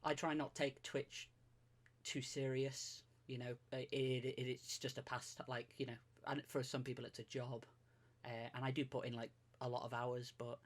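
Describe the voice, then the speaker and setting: Rambling self-deprecating man. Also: medium pitch. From Greater Manchester, England. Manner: rambling, self-deprecating, many fillers and restarts. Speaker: streamer guest. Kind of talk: casual podcast chat.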